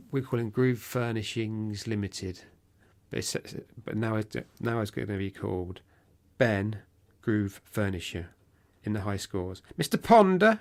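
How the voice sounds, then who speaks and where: A British man co-hosting a podcast, talking casually and rambling with lots of restarts, his voice a little worn from talking. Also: medium pitch. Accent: British English. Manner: chatty, rambling with frequent restarts. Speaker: podcast co-host. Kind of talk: casual chat.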